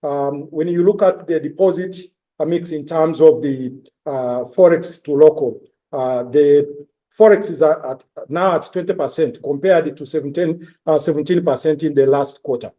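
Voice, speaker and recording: This man has a dark, relaxed timbre set a little high. He delivers a corporate report in halting fragments, pausing and hesitating as he goes. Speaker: bank executive. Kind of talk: corporate results presentation on conference call.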